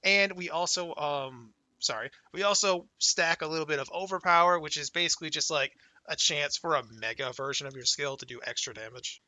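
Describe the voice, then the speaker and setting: A young man casually talking through his game character, laid-back and excited, his voice worn and going hoarse from a cold. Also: fairly high pitch, fairly quick, few pauses, no echo. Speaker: gaming channel host. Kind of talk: casual gameplay commentary.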